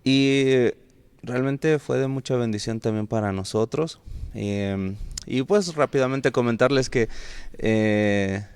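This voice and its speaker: A man's voice: medium pitch, slow, darkish tone, clear, resonant tone. A man hosting a podcast interview in a friendly manner, with fillers and light laughs. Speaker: podcast host.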